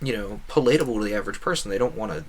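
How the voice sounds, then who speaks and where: A man's voice: lowish voice, lively intonation, very fast. A man giving casual gameplay commentary as a streamer, thinking aloud in a wordy, meandering way. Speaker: game streamer.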